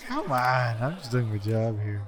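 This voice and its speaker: A man's voice: mid-range voice, expressive, normal speed, crowd noise, natural voice. An actor playing a weary, pleading junior policeman in a radio drama, sounding exasperated.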